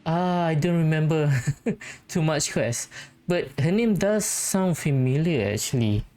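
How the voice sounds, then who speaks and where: A video game host giving casual commentary and thinking out loud while playing. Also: medium pitch, animated, unhurried.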